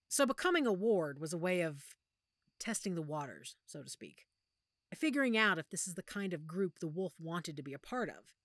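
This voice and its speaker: A woman acting a protective, weary werewolf pack leader in an audio roleplay, speaking softly and measuredly with thoughtful pauses. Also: low voice, dry recording, clean studio sound. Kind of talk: audio roleplay drama, one-sided conversation.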